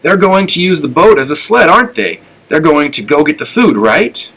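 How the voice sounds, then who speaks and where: Man voicing an eager young female pig, pitched up. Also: very animated, moderate pace, fairly bright tone, exaggerated voice. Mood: excited.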